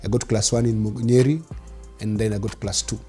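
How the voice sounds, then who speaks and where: A man's voice: on the low side, steady tone, fast, warm tone, breathy edge, faint music behind. A man in his forties with a Kenyan accent and Swahili as his first language, telling his life story conversationally as an interview guest. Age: 40s.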